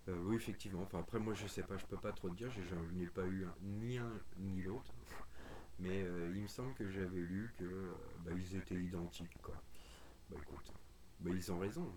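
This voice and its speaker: A man's voice: deep voice, noisy background. A man chatting casually and bluntly in informal, opinionated slang. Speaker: radio hobbyist chatting on a group call stream. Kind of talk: casual chat.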